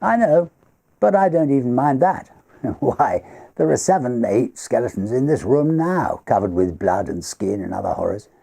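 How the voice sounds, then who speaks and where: A man's voice: medium pitch, animated, moderate pace, gravelly, mellow, slightly rough, voice pushed up. A man voicing a genteel party host in a storybook, confiding and faintly haunted, with emphatic, refined conversational speech. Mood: animated, musing. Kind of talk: storybook character dialogue.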